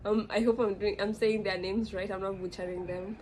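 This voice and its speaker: A woman's voice: medium pitch, fairly even intonation, a little fast, darkish tone, a little hiss or hum. A young woman with a Kenyan accent talks casually, rambling with lots of fillers and restarts. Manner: rambling, frequent fillers and self-corrections. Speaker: vlogger. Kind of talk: casual reflective monologue.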